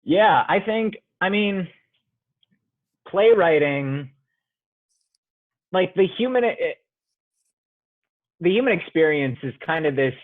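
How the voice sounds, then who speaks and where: A man's voice: high voice, animated, slow, long pauses, dry recording. Man speaking over a tinny call line. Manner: thoughtful, searching for words, frequent restarts. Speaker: playwright. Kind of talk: interview answers on a call. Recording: dry tinny phone-like audio.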